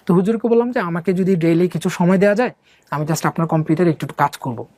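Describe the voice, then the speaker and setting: A young man from Bangladesh recounts his own life story in a loose, rambling way, with plenty of fillers and English words mixed into his speech. He talks at a brisk pace. The recording is dry and close, with no room sound. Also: high-pitched, a little mellow, no echo. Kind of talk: casual conversational storytelling.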